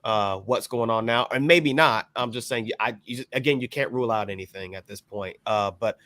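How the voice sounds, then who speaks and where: A man on a podcast panel, talking casually in a hesitant, measured way and hedging as he goes. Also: mid-range voice, fairly bright tone, no echo. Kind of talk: casual discussion.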